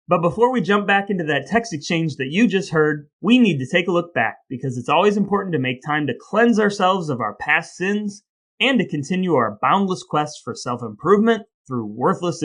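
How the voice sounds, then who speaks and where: A man co-hosting a podcast with scripted, playfully mock-solemn delivery and banter. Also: a little high. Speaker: podcast co-host. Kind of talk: scripted dramatic reading and bantering podcast talk.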